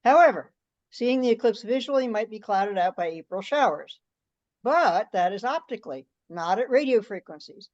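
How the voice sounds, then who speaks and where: A woman's voice: mid-range voice, lively intonation, normal speed, darkish tone. Woman on a slightly dull call. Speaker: meeting participant presenting a draft letter. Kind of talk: reading a draft aloud and discussing it in an online meeting. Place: online conference call.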